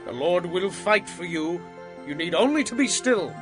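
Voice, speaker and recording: A man's voice: high voice, animated, normal speed. A man playing a bold, reassuring prophet and leader, declaiming emphatically in a dramatized scripture audio drama. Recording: dramatic music behind voice.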